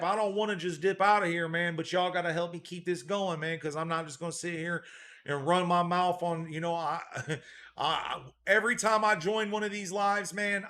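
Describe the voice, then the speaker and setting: A man's voice: high-pitched, pushed and bright. A man hosting a casual livestream as a dog breeder, laid-back, reading out and thanking viewer donations, often repeating a catchphrase.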